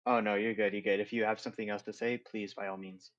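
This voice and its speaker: A man's voice: fairly low pitch, level tone, fast talker, fairly bright tone. A man moderating a panel, asking polite and somewhat hesitant questions. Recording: dull lo-fi sound.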